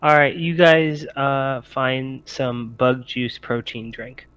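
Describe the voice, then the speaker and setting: A man's voice: medium pitch, takes it slowly, fairly bright tone, clean tone, dry recording, dull audio. A man acting as game master, narrating a tabletop game casually with hesitant, improvised phrasing.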